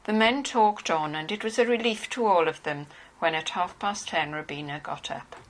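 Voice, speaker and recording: A woman's voice: on the low side, moderate pace, low-quality recording. A woman narrating a novel from a script. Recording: faintly hissy home recording.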